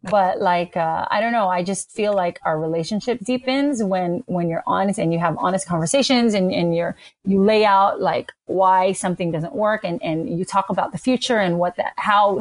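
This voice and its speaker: A woman speaks casually as a podcast panelist, in a middle-range pitch. She is earnest and measured, thinking her points through aloud. The sound is dry, with hardly any room on it. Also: no echo. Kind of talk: casual discussion.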